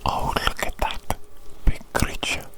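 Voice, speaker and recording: A woman whispering an ASMR roleplay as a doctor fussing over a creature, coaxing and soothing, often repeating her phrases. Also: a little slow.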